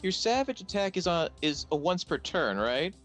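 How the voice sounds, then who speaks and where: A man's voice: high voice, very animated, moderate pace, fairly bright tone, soft background music. A man running a tabletop roleplaying game as game master in a casual style.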